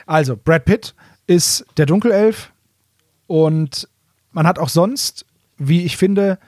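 A man hosting a casual fan podcast, explaining things in an animated, colloquial way. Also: on the high side, slow pace, no room sound, studio quality. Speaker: podcast host presenting audio dramas.

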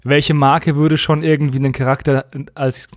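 Higher-voiced man chatting, very dry sound. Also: flat delivery, normal speed, no echo. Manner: opinionated, colloquial. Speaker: podcast co-host. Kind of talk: casual podcast chat.

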